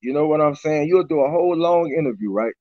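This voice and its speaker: Raspy middle-aged rapper, laid-back guest, muffled webcam audio. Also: male voice, a little high, animated, fast talker, dry recording. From Baton Rouge, Louisiana. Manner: loose, repeats "you know what I'm saying". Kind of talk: casual interview chat. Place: small room indoors. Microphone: webcam on a video call. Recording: dull lo-fi video call audio.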